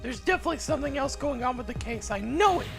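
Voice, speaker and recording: A woman voicing a brash, excitable, defensive female detective in a mystery game. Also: high voice, quick pace, gravelly, faint music behind. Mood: urgent. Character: brash, headstrong young female detective, highly strung.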